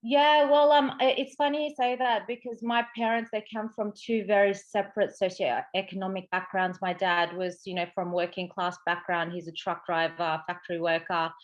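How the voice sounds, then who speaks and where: A woman's voice: medium pitch, little pitch movement, normal speed, few pauses, highs cut off. A woman with an Australian accent talking as an interview guest, chatty and explanatory, often checking in with "right" and "you know". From Sydney, Australia. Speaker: interview guest, psychic medium and coach. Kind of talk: casual interview conversation.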